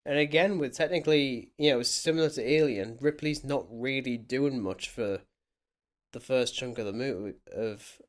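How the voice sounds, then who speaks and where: Male film podcast co-host, mid-pitched, casual and musing, giving conversational commentary over a movie. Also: normal speed.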